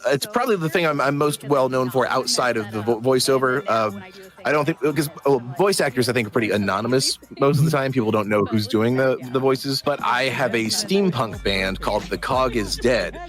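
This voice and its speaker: A man's voice: medium pitch, brisk, a bit bright. A man speaking as an interviewed voice actor in a casual, modest, rambling way. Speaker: voice actor and musician being interviewed.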